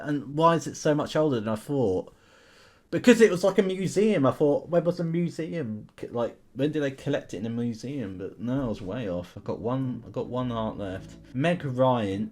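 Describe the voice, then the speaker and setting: A man's voice: medium pitch, expressive, normal speed. A man giving casual game commentary as a host, thinking aloud in questions and chatting to his viewers. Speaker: YouTube gamer host. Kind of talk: casual commentary while playing an online history quiz game.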